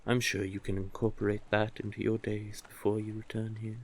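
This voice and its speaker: A man's voice: on the low side, flat delivery, husky. A man voicing a doting, possessive, manipulative male sea witch in an intimate audio roleplay, coaxing the listener tenderly. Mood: doting, teasing. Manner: coaxing, unhurried, tender with pet names.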